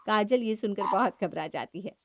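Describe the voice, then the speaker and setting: A woman's voice: mid-range voice, lively intonation, moderate pace, a little hiss or hum. A woman reading scripted narration for a moral story.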